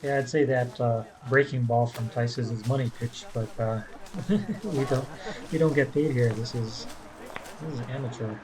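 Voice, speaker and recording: Laid-back English-speaking baseball commentator. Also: male voice, mid-range voice, moderate pace, rough recording. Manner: relaxed, rambling, many fillers and restarts. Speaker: play-by-play commentator and coach. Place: ballpark. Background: crowd.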